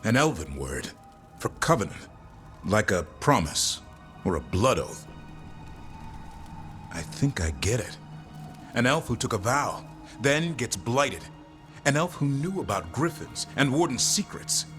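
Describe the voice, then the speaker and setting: An actor playing a blunt, impatient but dutiful monster hunter in a video game, speaking in terse, informal phrases. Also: male voice, mid-range voice, very animated, a little slow, long pauses. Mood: grim realization. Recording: music behind the voice.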